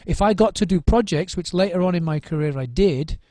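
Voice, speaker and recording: A man's voice: high-pitched, a little fast, warm tone, no room sound. A middle-aged man, a drum circle trainer, giving thoughtful interview answers, often restarting and repeating words as he searches for what to say.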